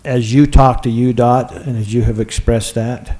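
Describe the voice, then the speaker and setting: A man replying formally and haltingly as an official at a public meeting. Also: mid-range voice, fairly even intonation, dark tone. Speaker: mayor. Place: council meeting room. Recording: very echoey room, distant mic, noisy.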